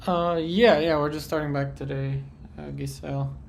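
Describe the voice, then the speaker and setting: A man's voice: fairly high pitch, normal speed, creaky, a little hiss or hum. A man hosting a live painting stream, sounding congested and a bit nasal as he chats easily with his viewers while he works. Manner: relaxed, chatty, answers viewer comments while working. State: congested from a sinus infection. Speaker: livestream art host. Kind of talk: live painting demo chat.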